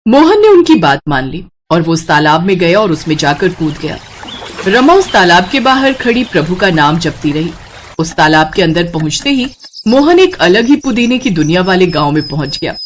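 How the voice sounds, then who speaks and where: A woman narrating a scripted children's moral story in an expressive storybook style. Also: lowish voice, moderate pace, a little hiss or hum.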